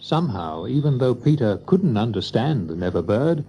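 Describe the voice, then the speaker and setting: A man narrating a scripted children's story in an expressive storytelling manner. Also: medium pitch, normal speed, slight background noise.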